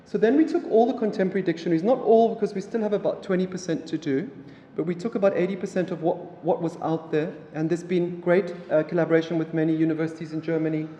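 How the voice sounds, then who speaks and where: A man's voice: high-pitched, a little hiss or hum. Man lecturing in an echoey hall. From South Africa. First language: Afrikaans. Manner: relaxed, halting, with many fillers. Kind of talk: public lecture. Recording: echoey hall, mic from afar.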